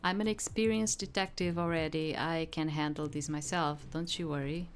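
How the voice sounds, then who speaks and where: A woman's voice: low-pitched, steady tone, moderate pace. A woman reading a visual novel aloud as a let's play, informal, with hesitant casual asides. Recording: game music behind the voice.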